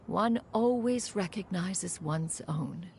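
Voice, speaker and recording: A proud, ancient female crane immortal from a video game. The voice sits fairly low with a creaky edge, stately and formal like an old master, over faint noise. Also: lively intonation, slow pace, a little mellow, full character voice. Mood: fond, solemn. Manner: formal, archaic, stately, refers to self as "one". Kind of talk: scripted video game voice acting.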